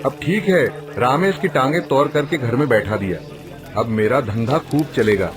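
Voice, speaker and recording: A man's voice: medium pitch, normal speed, music in the background, natural voice. A man playing a greedy, jealous and scheming village street-food seller in a cartoon story. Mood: gloating, scheming. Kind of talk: Hindi cartoon story voice acting.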